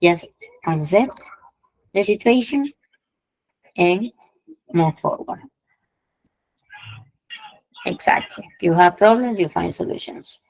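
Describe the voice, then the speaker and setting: Woman, English teacher, gravelly voice, dry close sound. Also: lowish voice, expressive, darkish tone, no echo, low-quality recording. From Peru. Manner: explains slowly, repeats phrases, checks in with students. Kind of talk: online class, switching between English and Spanish explanations.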